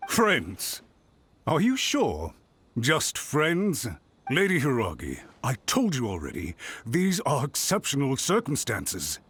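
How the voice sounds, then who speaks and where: An actor playing an anxious clan official in a video game, formal, flustered and pleading. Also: male voice, medium pitch, huge pitch swings, takes it slowly, a bit bright, breathy edge, heavily acted. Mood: suspicious. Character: anxious male clan official, fretful and apologetic.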